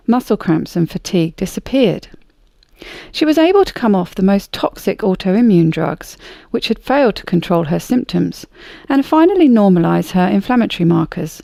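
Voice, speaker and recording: A woman's voice: medium pitch, gravelly, warm tone, dry recording. A woman hosting a podcast, reading a scripted intro and then chatting with her guest in an upbeat, friendly way. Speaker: podcast host. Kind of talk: scripted intro, then casual interview chat.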